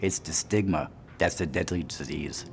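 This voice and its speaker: Very deep-voiced man in his fifties. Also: normal speed. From Brooklyn, New York. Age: 50s. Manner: emphatic, direct. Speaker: interviewee. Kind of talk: personal testimony. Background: music.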